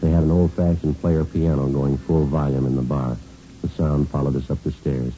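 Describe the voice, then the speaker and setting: An actor playing a stoic American police detective, narrating his case in a clipped, deadpan, matter-of-fact radio drama style. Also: very deep voice, moderate pace. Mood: firm, probing. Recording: dull vintage radio recording, music behind.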